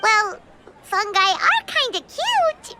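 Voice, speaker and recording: A woman voicing a tiny, excitable fairy companion in a game, chatty and eager with a very high voice. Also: slow, heavy background noise, heavily acted. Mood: chirpy. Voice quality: very high, bouncy. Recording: game audio with music underneath.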